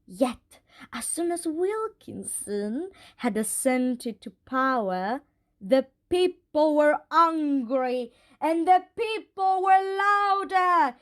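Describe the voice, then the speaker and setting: A woman performing as a theatrical fairytale storyteller in an audio play. She recites rhymed verse dramatically, extremely slowly and in a very high voice. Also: expressive, fairly bright tone, heavily acted. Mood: dramatic. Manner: dramatic, deliberate.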